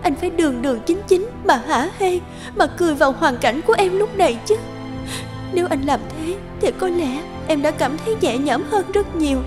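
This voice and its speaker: A woman's voice: sits high, animated, fairly quick. A woman voicing a guilty young woman who is crying and apologizing in a cartoon. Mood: tearful. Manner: tearful, pleading, apologetic. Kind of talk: cartoon voice acting. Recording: music under the voice. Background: background music.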